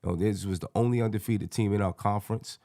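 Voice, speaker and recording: A man with a hoarse, scratchy voice hosting a casual sports fan panel in slangy, colloquial talk. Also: fairly low pitch, steady tone, fast, mellow, no room sound, studio quality.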